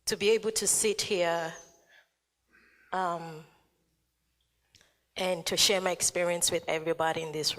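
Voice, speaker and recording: A young woman from Australia speaking earnestly on a conference panel, pausing often with fillers. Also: lowish voice, fairly bright tone. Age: young adult. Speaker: youth panelist. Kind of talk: panel remarks. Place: large conference hall. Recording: hall reverb.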